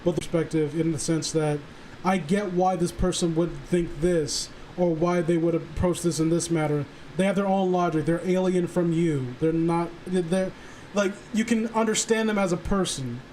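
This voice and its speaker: A man chatting casually on a stream, halting and rambling as he earnestly works through his thoughts. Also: on the high side, steady tone, a little mellow, poor audio. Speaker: stream participant. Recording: faint background noise.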